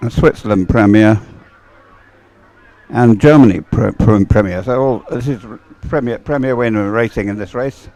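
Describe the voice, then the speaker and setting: A man's voice: lowish voice, normal speed, mellow, poor audio. A man giving live race commentary in a conversational, hesitant way, often thinking aloud.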